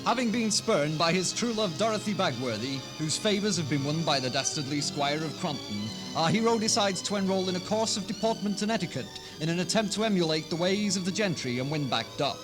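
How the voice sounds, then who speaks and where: Male narrator, high-pitched, scripted comedy-play storytelling, 1970s hospital radio recording with music bed. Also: expressive, a little fast, hardly any pauses.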